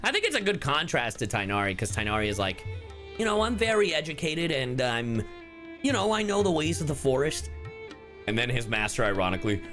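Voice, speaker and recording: A man's voice: mid-range voice, very animated, a little fast, fairly bright tone, soft background music. A man giving casual game reaction commentary as a streamer, slangy and joking.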